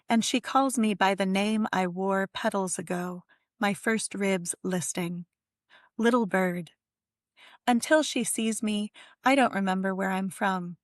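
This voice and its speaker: A woman's voice: medium pitch, no echo. A woman with a mid-range voice speaks as a poet on a literary program. She is recorded dry, with hardly any room around her. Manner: effusive, conversational. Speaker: guest poet and editor. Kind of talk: casual literary conversation with poem reading.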